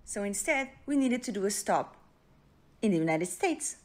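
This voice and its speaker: Portuguese lady casually storytelling, portuguese accent, average pitch. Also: animated, moderate pace.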